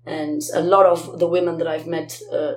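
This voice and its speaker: A woman speaking as a guest singer in a reflective interview conversation, often halting as she searches for words. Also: low-pitched, level tone. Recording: roomy echo, faint background noise.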